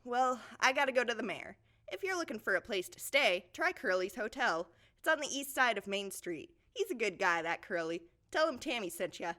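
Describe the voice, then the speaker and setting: Brash wasteland townswoman for audio drama, female actor: folksy, teasing, bright and animated. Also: fairly high pitch, moderate pace. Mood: cheerful, teasing.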